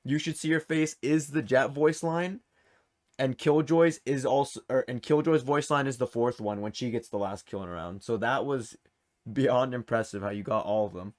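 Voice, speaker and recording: A man's voice: mid-range voice, normal speed. A man hosting a gaming quiz in a casual, chatty, encouraging way. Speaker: quiz show host.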